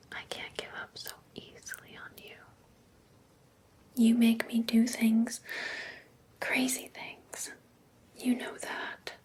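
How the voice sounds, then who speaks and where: Slow whispering obsessed vampire ex-girlfriend, acted by a woman. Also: a little high. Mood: possessive, menacing. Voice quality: soft, breathy whisper. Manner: slow, coaxing, teasing, lingering on endearments. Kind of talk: whispered ASMR roleplay.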